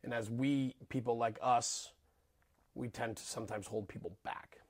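A man's voice: medium pitch, fairly even intonation, moderate pace, breathy edge. A man hosting a podcast, bantering casually and teasing his guest, rambling with fillers. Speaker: podcast host. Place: studio with cameras.

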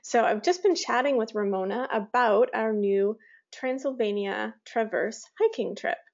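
Creaky-voiced woman hosting a podcast. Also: a little high, a little slow, darkish tone, dull audio. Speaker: podcast host. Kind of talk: casual interview chat.

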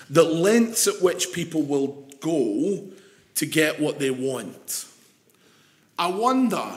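A Scottish man preaching an evening sermon with a slightly croaky voice, chatty and putting questions to the congregation. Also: on the high side, reverberant. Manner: conversational, asks the congregation questions.

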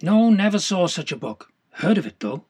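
Man playing a husky, needling whaling mate. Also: high-pitched, very animated, moderate pace, darkish tone, voice pushed up, exaggerated voice. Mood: sceptical, probing. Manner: blunt, probing, colloquial questions. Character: sceptical, needling male whaling mate quizzing his shipmate.

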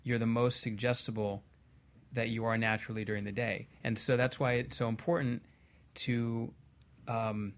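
A man speaking as a guest hypnotherapist on a talk show, explaining and telling anecdotes conversationally. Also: mid-range voice.

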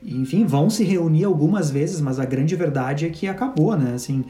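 Reflective man, mellow voice. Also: a little high, fairly quick. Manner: reflective, talks in long stretches. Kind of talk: casual conversational chat. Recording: echoey room with faint music.

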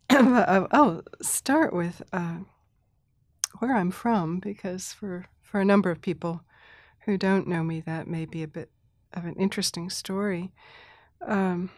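A middle-aged woman, an interview guest who grew up in Thailand, speaking thoughtfully with restarts, soft laughs and throat clearing. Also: fairly low pitch, measured pace, no room sound, clean studio sound. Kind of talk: reflective conversational interview.

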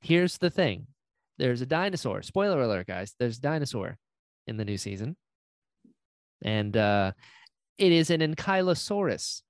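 A man's voice: mid-range voice, very animated, normal speed, long pauses, no echo, clean studio sound. A man hosting a casual interview, talking in an enthusiastic, gushing way and teasing playfully. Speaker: podcast host and voice actor.

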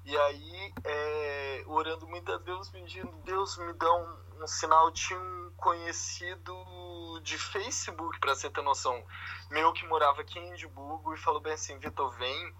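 A man's voice: on the high side, fairly even intonation, moderate pace, sharp tone, low-quality recording. A Brazilian man chatting casually and informally in Brazilian Portuguese, telling anecdotes with plenty of slang, as a podcast host. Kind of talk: casual conversational chat.

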